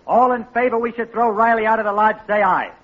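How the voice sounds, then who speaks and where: A man's voice: sits high, steady tone, fast talker, lightly acted. A man acting a stern, officious lodge leader in a 1940s American radio comedy, pronouncing verdicts formally. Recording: muffled old radio recording with faint noise.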